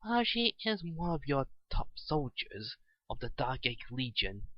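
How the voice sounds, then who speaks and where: A man's voice: mid-range voice, very animated, no room sound, muffled recording. Man voicing a hesitant, deferential little lackey. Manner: hesitant, soft, halting. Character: small male lackey nephew, hesitant, timid, deferential.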